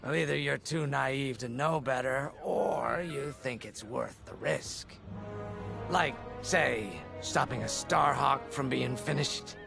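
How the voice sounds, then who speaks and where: Gravelly, cocky rogue pilot. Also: male voice, mid-range voice. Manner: folksy, wry, drawling slang like "ain't". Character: cocky roguish male rebel starfighter pilot. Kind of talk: video game character dialogue. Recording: loud music behind the voice.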